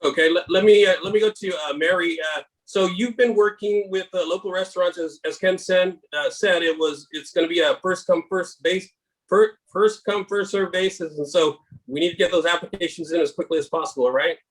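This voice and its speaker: A man's voice: high-pitched, fairly quick, low-quality recording. A man hosting a video-call interview show, friendly and casual, with frequent hesitations. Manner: friendly, many filler uhs. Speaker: host.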